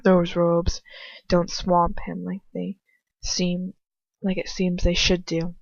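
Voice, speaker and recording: A woman's voice: fairly low pitch, monotone, slow pace, gravelly, dry recording, highs cut off. A woman reading fan fiction aloud like an amateur audiobook, informally, stumbling and correcting herself now and then. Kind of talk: audiobook-style story reading with casual asides.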